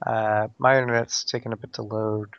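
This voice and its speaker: A man playing a tabletop game over a video call, easygoing and quick to laugh. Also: on the low side, little pitch movement, fairly bright tone, clear, resonant tone, no room sound, muffled recording. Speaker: player. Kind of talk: casual tabletop game chat.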